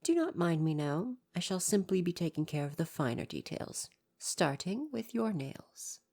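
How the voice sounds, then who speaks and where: A man's voice: sits high, sing-song, moderate pace, a little mellow, heavily acted. A man playing a devoted, proper royal butler in an ASMR roleplay, speaking to the listener in a formal, deferential, fussily attentive way. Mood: attentive, reassuring.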